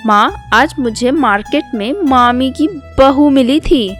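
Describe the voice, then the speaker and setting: A woman's voice: sits high, slow, soft background music. A woman voicing a sly, gossipy young daughter-in-law who feigns innocence in a storybook tale. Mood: scandalized gossip.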